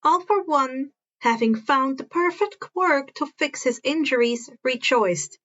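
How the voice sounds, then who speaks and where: A woman's voice: high voice, expressive, unhurried, a bit bright, lo-fi sound. A woman reading a story aloud as narrator, now and then stumbling over and repeating words, on a modest home recording. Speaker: fan fiction narrator.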